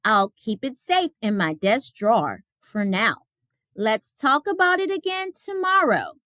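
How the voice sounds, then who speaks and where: A woman voicing a calm, fair-minded female schoolteacher in a storybook, speaking in a measured way. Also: fairly high pitch, no room sound, studio quality, exaggerated voice. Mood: calm, firm.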